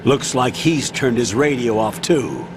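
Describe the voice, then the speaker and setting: A stern military colonel in a video game, giving clipped, authoritative orders. Steady noise hums beneath his lines. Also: mid-range voice, unhurried. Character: commanding older male colonel on the radio. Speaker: mission commander. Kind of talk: video game cutscene voice acting.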